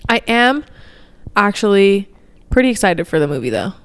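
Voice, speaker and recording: A woman's voice: mid-range voice, very animated, moderate pace, clear, resonant tone. A young woman co-hosting a podcast, talking informally with a friend into a handheld microphone held close. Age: young adult. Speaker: podcast co-host. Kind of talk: casual chat. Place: living room, indoors.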